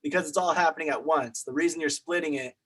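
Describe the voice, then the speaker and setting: Higher-voiced man explaining things casually. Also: quick pace, a bit bright, husky, poor audio. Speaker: film student in a group meeting.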